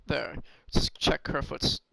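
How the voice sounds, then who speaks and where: A man with a slightly hoarse, raspy voice, casually commentating on his own game and thinking aloud with lots of fillers. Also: takes it slowly, fairly bright tone, a little hiss or hum, rough recording. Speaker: gaming streamer.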